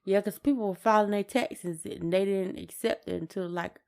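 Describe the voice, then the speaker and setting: A woman's voice: mid-range voice, fairly even intonation, moderate pace, vocal fry, darkish tone. An American woman co-hosting a podcast, talking casually and colloquially, repeating words for emphasis. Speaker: podcast co-host.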